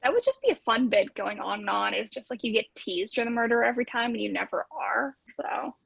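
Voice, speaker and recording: A woman's voice: fairly high pitch, sing-song, brisk, gravelly, fairly bright tone, husky, no echo. A woman chatting casually as a podcast guest, on slightly dull online-call audio. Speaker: podcast cast member. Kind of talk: casual chat. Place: small apartment.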